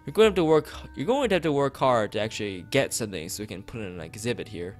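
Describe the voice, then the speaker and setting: A man voicing a discerning, blunt woman who owns an art gallery, giving a measured, frank appraisal in a visual novel. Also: lowish voice, lively intonation, close to an everyday voice. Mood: firm, frank. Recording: background music behind voice.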